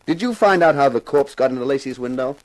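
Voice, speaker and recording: A man's voice: mid-range voice, animated, fast talker, darkish tone, close to an everyday voice, rough recording. A man acting a cocky, glib TV detective, the wry lead and narrator of a 1950s radio drama. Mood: feigned innocence. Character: wry, cocky TV actor playing amateur detective.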